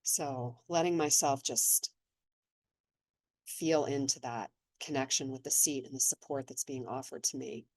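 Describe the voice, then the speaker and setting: Low-voiced woman guiding a meditation. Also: steady tone, no echo. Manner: slow, gentle, soothing. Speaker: meditation guide. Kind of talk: guided meditation. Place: seated in an office chair.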